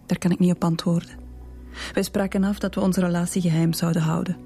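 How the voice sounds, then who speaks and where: A woman playing a guarded, dignified suspect in a radio drama, answering in a measured, reticent way. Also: on the low side, little pitch movement, mellow, soft background music, lightly acted. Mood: guarded, resolute. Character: guarded, dignified married woman under questioning. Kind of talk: Belgian radio police drama acting.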